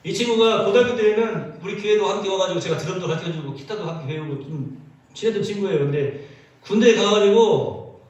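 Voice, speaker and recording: Male pastor, expressive sermon delivery, slightly high voice, heard from a distance in a reverberant church. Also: brisk, muffled recording. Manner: animated, storytelling with acted quotes. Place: church sanctuary. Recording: echoey church sound from a distance.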